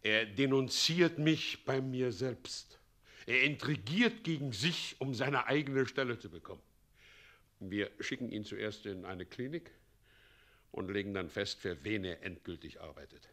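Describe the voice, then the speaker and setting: An actor playing a scheming, pompous middle-aged president in a German radio play, swinging theatrically from commands to wheedling. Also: male voice, medium pitch, unhurried, a bit bright, close to an everyday voice. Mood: smug, scheming. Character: scheming, pompous tyrant president, doting mockingly on his son.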